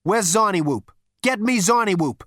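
A woman voicing a brash, swaggering man who demands things loudly. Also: medium pitch, lively intonation, moderate pace, dry recording, studio quality, voice pushed up. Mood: demanding. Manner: loud, pushy, cocky. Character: brash, swaggering hipster male space adventurer.

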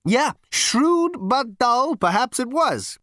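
A brash, manic space hipster. The voice is pitched far up and swings widely. He is cocky and slangy, exclaiming as he goes, in a dry, close recording. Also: sing-song, slow pace, a bit bright, no room sound, exaggerated voice. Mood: cocky, impatient. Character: brash, manic two-headed alien hipster man, cocky, excitable. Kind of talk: audiobook character voice.

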